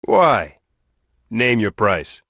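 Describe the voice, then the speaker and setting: Casting: earnest, courteous young game adventurer, questioning, animated delivery, close dry recording. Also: male voice, on the low side, very slow, creaky, no room sound, exaggerated voice. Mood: puzzled. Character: earnest young male adventurer seeking a cure for his curse. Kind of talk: video game cutscene acting.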